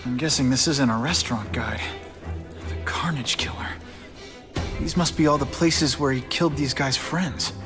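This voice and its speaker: A man's voice: medium pitch, normal speed, over music, close to an everyday voice. An actor playing a young superhero who quips and jokes as he works. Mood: quippy. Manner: joking, quippy. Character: young wisecracking male superhero. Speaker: game protagonist.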